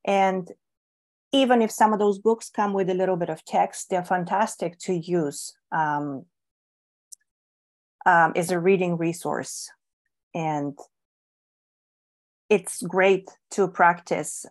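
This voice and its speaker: A woman's voice: lowish voice, slow pace, darkish tone. A woman from Bulgaria, Bulgarian her first language, answering interview questions in a thoughtful way with many ums and restarts. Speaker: guest. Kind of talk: relaxed podcast interview.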